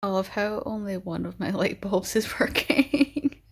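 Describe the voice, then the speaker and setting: A woman's voice: mid-range voice, expressive, measured pace, soft background music. A young woman vlogging in a casual chat to the camera, rambling and filling her talk with "like" and "um". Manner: rambling, full of "like" and "um".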